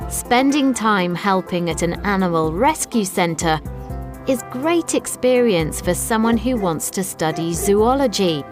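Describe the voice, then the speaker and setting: A woman reads scripted documentary narration for learners in a measured, clearly enunciated way. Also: mid-range voice. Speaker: narrator of an educational video report. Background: background music.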